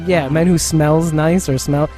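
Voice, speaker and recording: Mellow, rambling man chatting over music. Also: a little high, steady tone. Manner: rambling, halting, chuckly storytelling. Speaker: podcast co-host. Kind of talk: casual chat.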